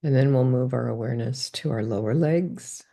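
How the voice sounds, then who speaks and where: A woman leading a guided body scan meditation, giving calm, gentle step-by-step instructions. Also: a bass voice, normal speed, gravelly.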